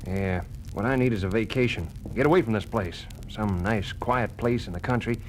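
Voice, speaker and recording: An actor playing a harried, indignant government security chief in an audio adventure, blunt and exasperated in manner. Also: male voice, fairly low pitch, normal speed, a little hiss or hum, lightly acted, poor audio. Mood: exasperated, weary. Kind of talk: 1970s audio adventure acting.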